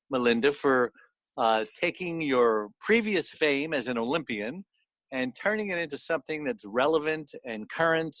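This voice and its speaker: A man's voice: medium pitch, a bit bright, dry recording, poor audio. Male radio co-host, very dry close sound. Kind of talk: conversational radio interview.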